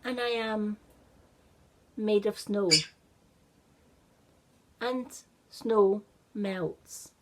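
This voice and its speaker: A woman voicing a gentle, wistful magic snowman in a children's story, speaking with patient resignation. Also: mid-range voice, steady tone, drawn-out words, long pauses, background noise. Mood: sad, resigned.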